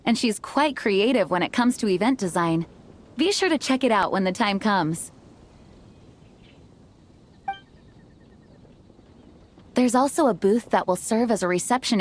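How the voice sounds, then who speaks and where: An actress playing an earnest, modest young knight commander in an anime game, speaking formally and politely. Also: female voice, on the high side, a little hiss or hum, lightly acted. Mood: composed, helpful. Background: background music.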